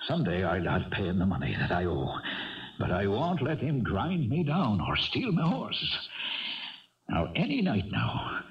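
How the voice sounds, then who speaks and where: An actor playing a proud, broke Irish racehorse trainer, kindly and stubborn, with a folksy lilt, in a radio drama. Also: male voice, on the low side. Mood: defiant. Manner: folksy lilting turns of phrase. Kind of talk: 1970s radio drama acting. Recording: dull, distant 1970s radio recording.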